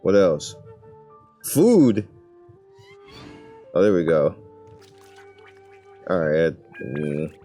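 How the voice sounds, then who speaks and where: A man's voice: sits low, big pitch swings, very slow, long pauses, dark tone, soft background music. A man casually commenting over gameplay in an offhand, muttering way. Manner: laid-back, offhand muttering. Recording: voice over game audio.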